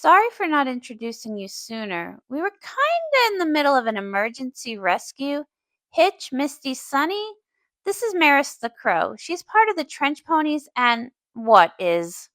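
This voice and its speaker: Woman as an earnest high-voiced cartoon pony princess, creaky. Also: big pitch swings, moderate pace, a bit bright, no room sound, heavily acted. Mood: puzzled. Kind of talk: fan comic dub cartoon acting.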